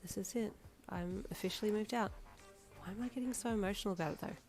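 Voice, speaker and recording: A woman's voice: mid-range voice, fast, darkish tone, pitched above the performer's own voice, natural voice. A woman voicing an emotional young woman moving out of her parents' home in an audio story. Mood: tearful. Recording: faint music behind.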